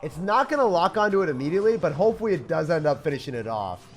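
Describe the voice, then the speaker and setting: A man's voice: on the high side, sing-song, very fast. A young man giving hyped, playful, slangy commentary over gameplay as a video host. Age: young adult.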